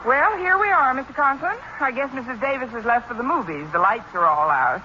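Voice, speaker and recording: An actress playing a quick-witted, wry woman who talks briskly and expressively in a 1940s radio sitcom. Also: high voice, animated. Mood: pleasant. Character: quick-witted, wry adult woman schoolteacher, cool under pressure. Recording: muffled, very noisy 1940s radio recording.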